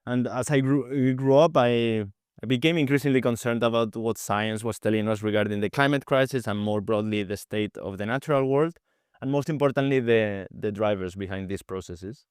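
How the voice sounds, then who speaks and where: A man's voice: mid-range voice, moderate pace, clear, resonant tone, no room sound, clean studio sound. A man from Spain in his thirties, a researcher answering podcast interview questions, hesitant with frequent repeated words and restarts. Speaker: guest researcher.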